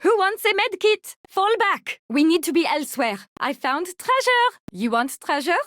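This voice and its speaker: An actress playing a giddy, macabre mad-scientist fungus doctor in a video game, theatrical and genteel, with a French accent. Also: female voice, very high-pitched, sing-song, moderate pace, a bit bright, dry recording, studio quality, exaggerated voice. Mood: excited, playful. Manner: theatrical, gleefully morbid, genteel wording. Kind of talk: video game hero voice lines.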